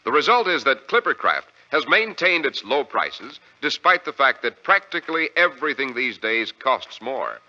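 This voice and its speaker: American guy doing old-time radio ad, punchy bright voice, lively emphatic sales pitch, muffled sound. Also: normal speed, hardly any pauses. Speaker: radio announcer reading sponsor ad. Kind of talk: 1940s radio advertising pitch.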